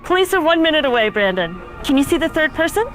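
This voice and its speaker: A woman's voice: high-pitched, big pitch swings, quick pace, soft background music. A woman playing a firm, controlled emergency dispatcher in an audio drama. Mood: urgent, commanding.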